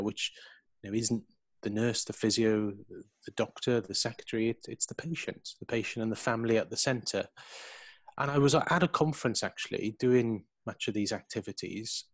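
Low-voiced doctor telling a story. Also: male voice, dry recording. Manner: reflective storyteller, acts out quoted dialogue. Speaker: intensive care consultant, podcast guest. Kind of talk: conversational interview answers. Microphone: video call.

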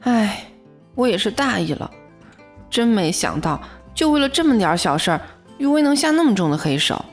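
A woman's voice: medium pitch, sing-song, normal speed. An actress playing a modest young female office worker in an audio drama, in first-person narration and dialogue. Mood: puzzled. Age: young adult. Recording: music bed behind the voice.

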